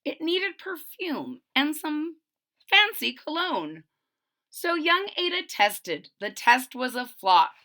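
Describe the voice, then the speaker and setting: A woman's voice: sits high, slow. A woman reading a children's story aloud with dramatic emphasis, explaining simply as if to young listeners. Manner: expressive, dramatic emphasis on key words. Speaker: storybook reader.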